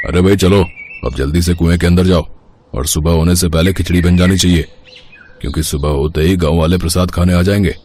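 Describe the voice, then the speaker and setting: Guy doing a greedy bossy village headman for a story cartoon, deepish voice, sly and pushy. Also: fairly even intonation, a little fast, darkish tone, slight background noise, lightly acted. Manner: domineering, demanding. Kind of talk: Hindi moral story cartoon dubbing.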